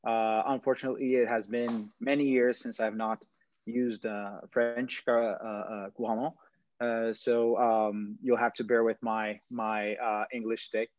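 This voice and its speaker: A man's voice: medium pitch, little pitch movement, measured pace, darkish tone, no echo. A man in his thirties from Brazil, giving a presentation on a video call, hesitant with many fillers and restarts. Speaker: startup founder. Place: indoors at home, stone wall behind. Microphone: video call webcam. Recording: dull lo-fi video call audio.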